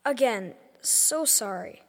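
A woman's voice: a little high, expressive, slow. A woman playing an easygoing, food-loving young boy in a children's audio drama, speaking in a casual, chummy way. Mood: apologetic.

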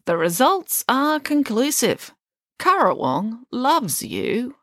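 A woman voicing a formal female club president in a children's audiobook, making announcements in a deliberate, ceremonious way. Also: on the high side, very animated, fairly bright tone, dry recording, clean studio sound, voice pushed up, exaggerated voice. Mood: assured. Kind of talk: children's audiobook character acting.

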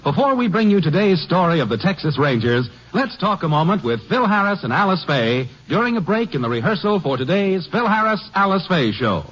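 A man's voice: high voice, very animated, fairly quick, few pauses, fairly bright tone. A man announcing on 1950s network radio in a polished, formal manner. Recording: dull vintage 1950s radio broadcast.

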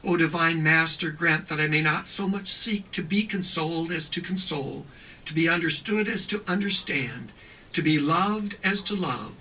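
A man reciting a prayer as a priest would, in measured, separate phrases. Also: fairly high pitch, bright, forceful tone, a little hiss or hum. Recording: slightly distant from the microphone.

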